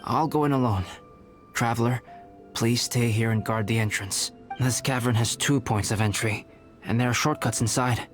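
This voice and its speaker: A man playing a calm, methodical young scholar in a video game, speaking in a measured, polite and precise way. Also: on the low side, lightly acted. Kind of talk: video game character voice acting. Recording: game music behind the voice.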